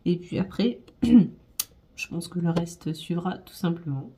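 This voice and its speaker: A woman with a stuffy nose from a cold, chatting gently to viewers as she tidies her home, with soft music behind. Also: lowish voice, takes it slowly, a little hiss or hum. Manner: gentle, chatty, apologetic asides with light laughs. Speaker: home vlogger. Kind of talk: casual vlog narration.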